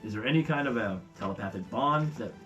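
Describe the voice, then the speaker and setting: A man chatting casually as a player in a tabletop roleplaying game, describing his actions in a halting way. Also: medium pitch, expressive, fairly bright tone, muffled recording, soft background music.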